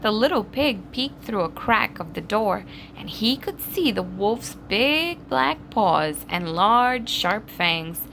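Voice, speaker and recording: Woman storyteller, children's read-aloud, dramatized, slow, highly varied pitch, faint background noise. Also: animated, creaky, fairly bright tone, poor audio. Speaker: storybook reader.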